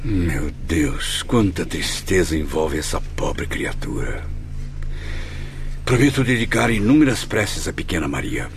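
A man's voice: low voice, very animated, measured pace, a little hiss or hum, full character voice. A man playing an earnest, devout hospital patient in a radio drama, speaking in a heartfelt, formal way. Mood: compassionate. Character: earnest, devout male patient finding hope. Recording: faint music behind. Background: soft background music.

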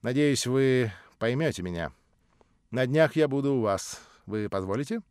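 A man reading an audiobook voices an older nobleman, lowered, measured and cool. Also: expressive, darkish tone, clear, resonant tone, no room sound, studio quality, close to an everyday voice. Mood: cold. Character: older nobleman, cold, condescending and smooth.

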